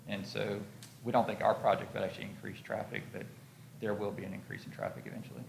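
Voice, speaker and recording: A man's voice: lowish voice, flat delivery, fast, vocal fry. An American man, an architect, explaining a project and answering questions at a public hearing. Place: public meeting room. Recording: roomy echo, faint background noise.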